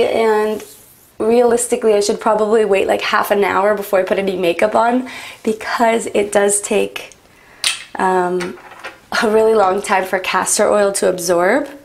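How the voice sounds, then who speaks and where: Young woman vlogger, raspy and gravelly, loose rambling chat. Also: mid-range voice, steady tone, a little slow, slight background noise. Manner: rambling, frequent "like" and "um". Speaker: beauty vlogger. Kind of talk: chatty get-ready-with-me talk. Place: home, doing makeup.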